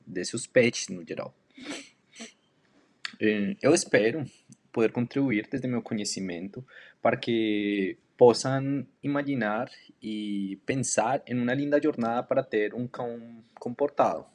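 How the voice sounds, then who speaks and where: Male dog educator, native Spanish speaker from Colombia with audible accent, mid-range voice, measured self-introduction talk with hesitant pauses. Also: mid-range voice, darkish tone. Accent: Colombian Spanish accent in Portuguese. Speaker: dog trainer and canine educator.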